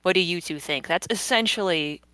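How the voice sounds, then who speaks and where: A woman's voice: on the low side, moderate pace, sharp tone, no echo. A woman giving casual behind-the-scenes commentary as a comic creator, rambling and thinking aloud as she goes. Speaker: webcomic creator guest.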